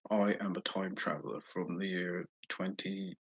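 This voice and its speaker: A man putting on a poor English accent as a solemn time traveler from the future, introducing himself slowly and deliberately. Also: sits low, flat delivery, slightly rough, lo-fi sound. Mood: solemn announcing. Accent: poorly imitated English. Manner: slow, deliberate self-introduction.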